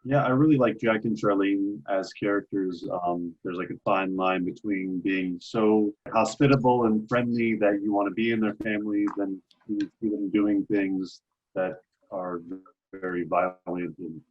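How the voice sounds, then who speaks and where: A man giving casual, thoughtful feedback in a halting, reflective way over lo-fi audio. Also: lowish voice, steady tone. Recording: dull lo-fi audio.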